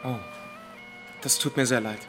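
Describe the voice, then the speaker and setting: Sympathetic, inquisitive male companion played by a man for radio drama, medium pitch, clear music behind. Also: steady tone, a little slow, fairly bright tone, lightly acted. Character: curious, sympathetic man chatting at a veterans' reunion.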